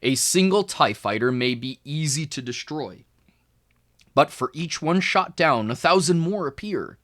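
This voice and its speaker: Man reading aloud with lively, swooping intonation. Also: on the high side, a little slow. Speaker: tutor reading a children's book aloud. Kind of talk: expressive educational read-aloud narration.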